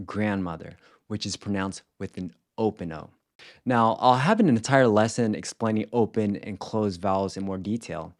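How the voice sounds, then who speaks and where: A man presenting a language lesson in scripted instructional narration, explaining clearly and enunciating example words with care. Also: on the low side, a little mellow. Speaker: language teacher presenting a video series.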